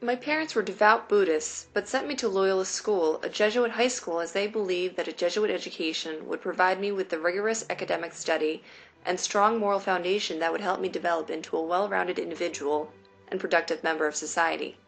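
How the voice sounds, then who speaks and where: A woman in her late twenties from New York City, whose first language was Mandarin Chinese, reading a personal essay aloud in a measured, deliberate way. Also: fairly low pitch, vocal fry, faint music behind. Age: late 20s.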